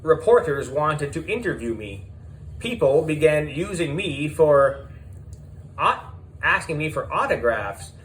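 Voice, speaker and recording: A man reads a children's story as its schoolboy narrator, with a fairly high, very lively voice. He takes his time with dramatic pauses, and faint noise sits behind him. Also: rough recording. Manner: animated, deliberate, dramatic pauses. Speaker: audiobook narrator.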